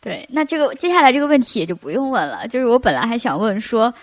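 Animated woman hosting a casual podcast. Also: on the high side, quick pace, no echo. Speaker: podcast host. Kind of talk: casual interview chat.

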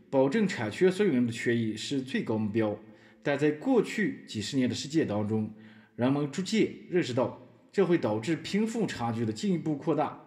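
Casting: man as scholarly reporter on the witness stand, mid-pitched, animated intonation, expository and formal, for a podcast drama. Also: lightly acted. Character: analytical newspaper reporter testifying as expert witness. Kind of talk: scripted expert testimony in podcast drama.

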